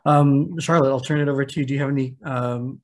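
A man in his thirties moderating a video call panel, speaking haltingly with many fillers. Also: mid-range voice, steady tone. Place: home room, indoors.

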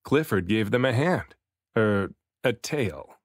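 A man reading a children's picture book aloud as an expressive storybook narrator, varying his delivery for emphasis. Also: lowish voice, slow, dry recording, clean studio sound. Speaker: children's book reader, dad and voice actor.

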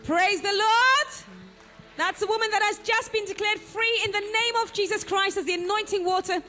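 A woman's voice: very high-pitched, normal speed, pushed and bright, faint music behind. A woman commentating on a church broadcast, emphatic and exclaiming praise. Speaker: program commentator.

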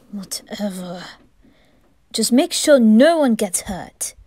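A woman's voice: medium pitch, lively intonation, drawn-out words, dark tone, no echo, studio quality, voice pushed down. A woman voicing a brooding, lovesick young master, curt and irritable, in an anime fan audio drama. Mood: sullen, irritable.

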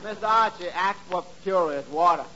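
Wry waiter in 1940s radio comedy, high, bright voice, needling, muffled hissy sound. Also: male voice, brisk, a faint crowd. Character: wisecracking, unimpressed tavern waiter.

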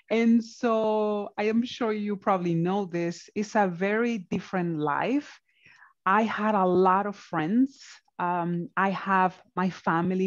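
A woman in her forties from the Dominican Republic, telling her own story openly as an interview guest over a video call from a home office. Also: medium pitch. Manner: open, animated, laughs while talking. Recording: dull lo-fi video call audio.

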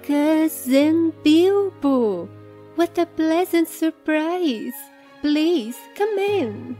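A woman acts out a middle-aged hobbit lady of a fantasy audio drama, welcoming and gracious. Her voice sits high and is very mellow. She speaks slowly, with music playing behind her. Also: expressive, heavily acted. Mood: pleasantly surprised.

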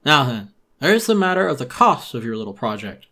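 A man's voice: medium pitch, big pitch swings, fairly quick, sharp tone, exaggerated voice. A man voicing a pushy, falsely friendly raccoon shopkeeper in a dramatic reading, wheedling and unctuous. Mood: smug, patronizing. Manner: unctuous, wheedling, tacked-on questioning tags. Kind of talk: dramatic storybook reading of a video game character.

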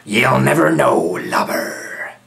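A man's voice: medium pitch, big pitch swings, gravelly, fairly bright tone, pitched above the performer's own voice, exaggerated voice. A grubby old pirate henchman, put on by a man reading aloud in a children's storybook. He has a stage pirate accent and a nasty, menacing way of stretching out his words. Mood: gloating. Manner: menacing, drawn-out delivery.

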